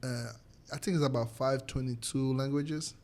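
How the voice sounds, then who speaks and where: A young man with a Nigerian accent, a native speaker of a local Edo language, chatting casually and telling stories in an animated, repetitive way. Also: mid-range voice, normal speed. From Nigeria, Edo State. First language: Edo State local language. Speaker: podcast guest, former college athlete. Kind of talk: casual chat.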